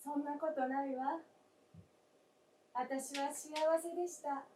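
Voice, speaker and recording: A gentle young wife returned as a snow figure, played by an actress, speaks very slowly and politely. Her voice is mellow and wistful, in old-fashioned feminine phrasing, heard in a roomy space during a live radio play. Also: high-pitched, steady tone. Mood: gentle confession. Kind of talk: live staged radio drama acting. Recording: roomy stage, distant mic, faint noise.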